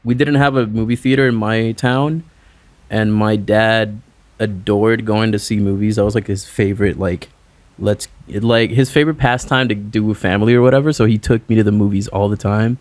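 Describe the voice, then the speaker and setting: Young male musician from Puerto Rico, loose rambling interview talk, slightly low, dry. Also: steady tone, moderate pace, a little mellow, no echo. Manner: loose, rambling, heavy on "like" fillers. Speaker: musician guest. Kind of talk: casual interview chat.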